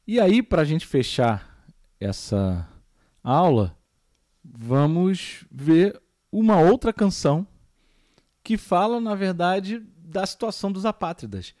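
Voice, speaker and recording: A man's voice: sits high, lively intonation, takes it slowly, a little mellow, dry recording, studio quality. A man with a Brazilian Portuguese accent, teaching a recorded geography lesson and explaining step by step, with many fillers and tag questions.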